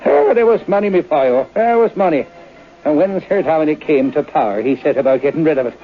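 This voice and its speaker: An actor playing a folksy Irish storyteller spinning an eerie tale in old-time radio drama, with a theatrical lilt. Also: male voice, on the high side, brisk, lightly acted. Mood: relishing. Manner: theatrical, lilting, relishing the tale. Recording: muffled old radio broadcast with music.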